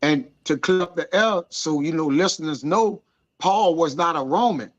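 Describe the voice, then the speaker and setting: A man's voice: fairly high pitch, moderate pace. A man teaching scripture informally as a study elder, colloquial and prompting listeners with questions, in lo-fi sound. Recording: dull lo-fi audio.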